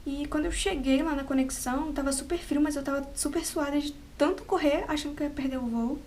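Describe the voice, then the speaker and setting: High-voiced chatty woman vlogger. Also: fast, darkish tone, a little hiss or hum. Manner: chatty, laughs often, trails off with "né". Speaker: travel vlogger. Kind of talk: unscripted casual storytelling. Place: at home, with cats nearby.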